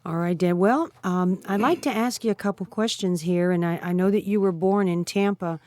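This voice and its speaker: A middle-aged woman asking questions in a gentle, conversational interview style. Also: lowish voice, normal speed, no echo. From United States. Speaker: interviewer, daughter of the guest.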